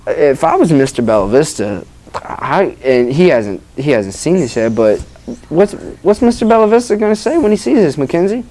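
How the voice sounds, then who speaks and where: Expressive storybook reader and informal teacher. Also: mid-range voice, normal speed. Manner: engaging, informal, addresses listeners as guys. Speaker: teacher reading a story aloud to children. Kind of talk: expressive read-aloud with questions to young listeners.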